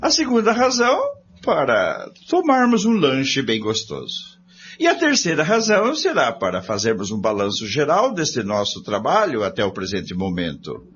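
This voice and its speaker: An actor playing a jovial member of a research group in a radio drama, with a Brazilian accent and wordy, theatrical phrasing. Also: male voice, mid-range voice, wildly swooping pitch, moderate pace, a bit bright. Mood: jovial, persuasive. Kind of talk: scripted radio drama acting. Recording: dull lo-fi audio with faint noise.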